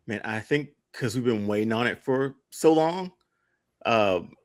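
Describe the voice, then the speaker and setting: A man's voice: medium pitch, animated, a little slow, no echo, studio quality. A man chatting casually as a podcast panelist, thinking aloud in an informal way.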